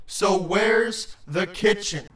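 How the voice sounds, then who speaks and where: Woman putting on a stern, low-voiced bloated changeling male. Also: takes it slowly, reverberant, natural voice. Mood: testy, defensive. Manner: stern, hissing, snapping. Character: huge exhausted, irritable male changeling, bloated with eggs.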